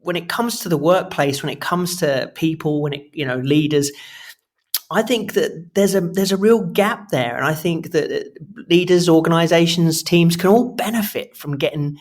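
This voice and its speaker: Man hosting, halting conversational phrasing. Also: on the high side. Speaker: podcast host. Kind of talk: conversational podcast interview.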